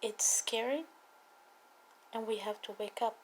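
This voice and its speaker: A woman from Cuba with a Cuban accent, a native Spanish speaker, giving an earnest, emphatic personal testimony and political appeal with deliberate repetition. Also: a little high, fairly even intonation, slight background noise. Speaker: political activist speaking to viewers.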